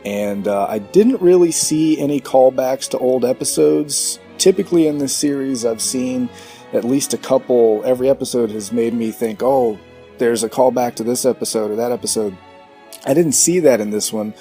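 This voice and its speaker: A man's voice: mid-range voice, normal speed, warm tone, faint music behind. A man giving a casual, off-the-cuff spoken review as a podcast listener. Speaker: listener contributing a recorded review.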